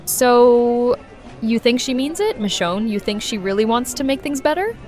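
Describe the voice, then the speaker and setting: A woman's voice: fairly high pitch, animated, normal speed, a little mellow, faint music behind, a faint crowd, natural voice. Actress as brash, wisecracking young woman. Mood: casual banter. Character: brash, wisecracking, affectionate young woman, loyal best friend. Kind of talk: audio drama acting, conversational.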